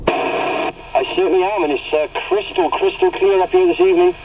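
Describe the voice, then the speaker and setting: A man's voice: high-pitched, fairly even intonation, moderate pace. A man talking over CB radio, trading signal reports in casual radio jargon. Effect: CB radio transmission. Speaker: CB radio operators calling in. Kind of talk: informal CB radio chat with procedural jargon. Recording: very noisy, distant CB radio reception. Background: loud radio noise.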